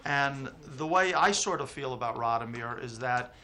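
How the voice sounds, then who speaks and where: A man speaking in an interview, measured and deliberate. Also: mid-range voice, bright, forceful tone. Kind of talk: personal interview.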